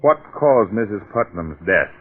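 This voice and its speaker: An actor playing an earnest, brisk, commanding police detective in 1940s radio drama style. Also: male voice, fairly low pitch, big pitch swings, breathy edge, exaggerated voice. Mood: serious, probing. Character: earnest, jumpy police detective leading a case. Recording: noisy 1940s radio recording, thin narrow band.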